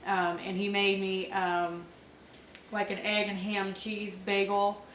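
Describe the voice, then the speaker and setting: A woman's voice: medium pitch, flat delivery, slow pace, fairly bright tone, roomy sound, distant mic, slight background noise, low-quality recording. A young woman with a stuffy, nasal voice from a cold, chatting casually in a vlog with lots of fillers and small laughs. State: congested, nasal, sore throat. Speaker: vlogger. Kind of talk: casual pregnancy update vlog.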